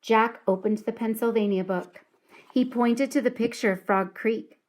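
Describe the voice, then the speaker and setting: A woman reading a children's story aloud in an expressive, deliberate and dramatic storytelling style. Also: fairly high pitch, low-quality recording.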